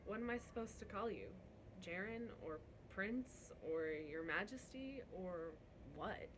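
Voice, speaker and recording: Woman voicing a young servant girl, storybook dialogue, soft, hesitant, earnest, noisy recording. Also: mid-range voice, expressive, measured pace, close to an everyday voice. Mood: gentle, hesitant. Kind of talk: storybook read-aloud dialogue.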